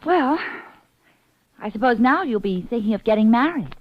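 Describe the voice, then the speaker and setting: A woman's voice: fairly high pitch, lively intonation, moderate pace, dry recording. An actress playing a wry, teasing woman in a 1940s radio drama. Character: teasing woman in love with a detective. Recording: dry, dull old radio broadcast.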